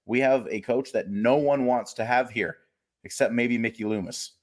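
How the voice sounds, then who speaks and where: A man's voice: mid-range voice, normal speed. A man co-hosting a casual sports podcast, talking in wry, gloomy, self-mocking banter. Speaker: podcast co-host.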